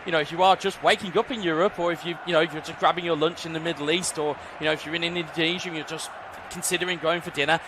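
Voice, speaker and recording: A man's voice: a little high, steady tone, racing, hardly any pauses, background noise. A man with an English accent giving fluent, upbeat play-by-play commentary on an esports football match, often speaking to the viewers directly. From England.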